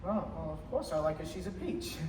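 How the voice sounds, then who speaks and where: A teenage male student actor playing an awkward, grumbling young small-town man in a radio play, halting and flustered. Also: on the high side, big pitch swings, darkish tone, far from the mic. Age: teens. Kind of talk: old-time radio play acting on stage. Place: high school theater stage. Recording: roomy stage sound from afar, background noise.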